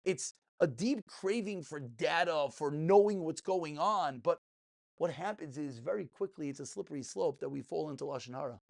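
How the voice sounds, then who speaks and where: A man's voice: a little high, lively intonation, moderate pace, vocal fry, darkish tone. A man giving a passionate, informal religious lesson as a rabbi, emphatic, full of rhetorical questions and repeated phrases.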